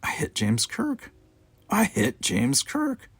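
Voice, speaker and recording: A man's voice: on the high side, huge pitch swings, slow pace, breathy edge, dry recording, voice pushed up, full character voice. A man voicing a nervous, awed young male cadet in an audiobook, with a flustered, stammering manner. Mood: stunned.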